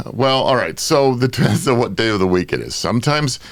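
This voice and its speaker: A man's voice: medium pitch, animated, moderate pace, no room sound, clean studio sound. An American man hosting a casual podcast chat, bantering in an exasperated way. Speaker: podcast host.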